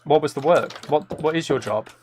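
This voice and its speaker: A man's voice: on the high side, normal speed, darkish tone. A man hosting a live game stream in casual chat, rambling playfully and thinking aloud into a close microphone.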